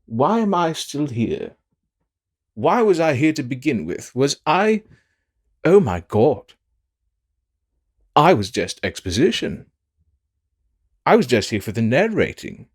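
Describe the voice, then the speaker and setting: A man's voice: mid-range voice, sing-song, slow pace, pauses often, slightly rough, heavily acted. A man playing a sassy, self-absorbed fantasy villain in an audio drama, with a theatrical, drawling and melodramatic delivery. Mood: bemused, self-absorbed. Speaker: fan audio drama voice actor and narrator. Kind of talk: theatrical in-character outtakes.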